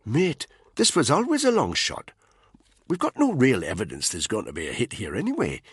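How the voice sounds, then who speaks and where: A man's voice: mid-range voice, wildly swooping pitch, normal speed, slightly rough, no echo, clean studio sound, voice pushed up, full character voice. A man voicing a worried male soldier pleading with his friend, in an audiobook reading. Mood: urgent. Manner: pleading, urgent, concerned.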